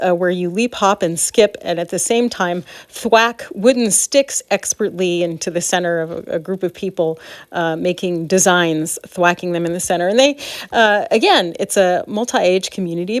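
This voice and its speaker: A woman's voice: on the low side, no echo. Earnest woman, closely recorded, dry sound. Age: adult. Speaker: speaker presenting own ideas. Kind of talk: informal monologue, advocacy talk.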